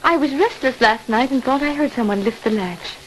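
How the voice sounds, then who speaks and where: A woman's voice: sits high, expressive, fairly quick. A woman playing a secretive, falsely sweet stepmother in a 1940s radio horror drama. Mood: feigned alarm. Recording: crackly old radio recording.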